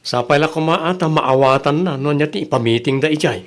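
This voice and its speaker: Village family man male performer, Ilocano accent, fairly high pitch, everyday comedy-drama delivery. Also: brisk, close to an everyday voice. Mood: casual.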